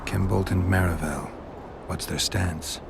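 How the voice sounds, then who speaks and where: An actor playing a gruff, world-weary monster-hunting mercenary in a video game, speaking in a terse deadpan with a gravelly voice. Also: male voice, low-pitched, measured pace, background noise. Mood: dry, sceptical.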